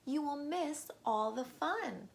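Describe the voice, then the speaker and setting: Eager frog friend played by a woman for a children's story: higher voice, cheerful, pleading, unhurried. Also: lively intonation, gravelly, exaggerated voice.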